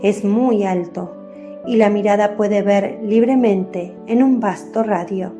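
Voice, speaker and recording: Woman narrator, slow measured reading, warm dark timbre, descriptive scripted storytelling. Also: medium pitch. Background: faint music.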